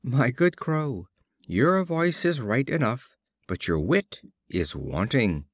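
A man voicing a wily, flattering, smug fox in a storybook, with honeyed, theatrical phrasing. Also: mid-range voice, slow, no echo, clean studio sound.

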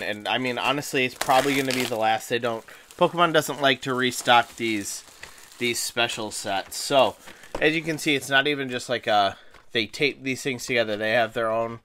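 A man hosting a card-opening video, chatting casually and a little hoarse from a cold, often restarting phrases and laughing as he talks. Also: mid-range voice, a bit bright, a little hiss or hum. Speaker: card-opening channel host. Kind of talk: casual unboxing chat. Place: indoors at a table, hands on camera.

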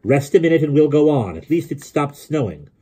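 Storybook role: brisk, commanding woman with a sharp tongue, voice lifted higher, played by a man. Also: sing-song, slightly rough. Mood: weary, firm. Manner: firm, commanding.

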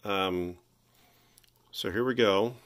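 A man with a low-pitched voice walks through a hands-on restoration job. He speaks casually and in a folksy way, pausing often as he thinks aloud. He is indoors in a workshop, picked up by a handheld device. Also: a bit bright. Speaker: hobbyist electronics restorer presenting his repair.

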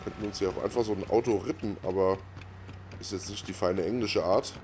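A man's voice: low-pitched, fairly even intonation, a little fast, a little mellow, slight background noise. A man giving casual, unscripted game commentary as host, muttering offhand reactions to himself. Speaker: gaming let's play host.

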